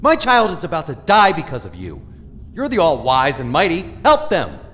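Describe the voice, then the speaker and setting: A man's voice: on the high side, wildly swooping pitch, moderate pace, fairly bright tone. A man playing an irritable, protective middle-aged father who talks bluntly and impatiently in an audio drama. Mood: desperate, angry.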